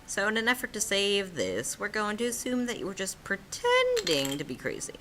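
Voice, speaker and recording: A woman's voice: medium pitch, wildly swooping pitch, moderate pace, background noise. A woman reading a choice-based story game aloud as host, with casual, playful, sardonic banter. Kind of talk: casual playthrough narration with banter. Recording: music playing behind the voice.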